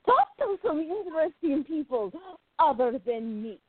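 Woman acting a playful, unhurried gallery worker, tinny sound. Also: high voice, big pitch swings. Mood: playful, friendly. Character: outgoing, teasing young Greek woman working at a gallery. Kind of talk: radio play dialogue. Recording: tinny, phone-like narrow sound.

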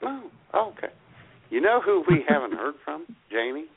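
A man co-hosting an internet radio show in casual comic banter, with a husky voice. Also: a little high, very animated, moderate pace. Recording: narrow phone-like radio sound.

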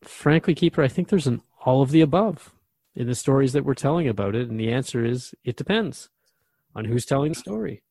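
A man's voice: mid-range voice, animated, a little fast, creaky, dark tone, dry recording. Guy radio host, mid voice, curious and thoughtful, chatty drama dialogue, very dry sound. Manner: inquisitive, reflective.

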